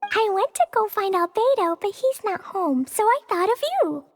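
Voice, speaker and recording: A woman voicing a small, earnest, naive and excitable girl in a video game cutscene, talking in a childlike way. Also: very high-pitched, normal speed. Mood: earnest, halting. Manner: childlike, speaks of self in third person. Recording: music behind the voice.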